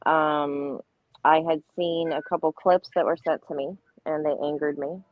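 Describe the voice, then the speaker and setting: A woman's voice: deep voice, fairly even intonation, a little slow. A woman speaking as a guest in a casual livestream chat. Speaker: panel guest. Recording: tinny phone-like line, music underneath.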